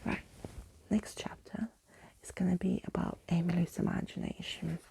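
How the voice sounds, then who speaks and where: A woman reading a bedtime story in ASMR style, soft and hushed, often slipping into whispers, close to the microphone. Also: lowish voice, steady tone, normal speed, gravelly. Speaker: storyteller reading a book to listeners.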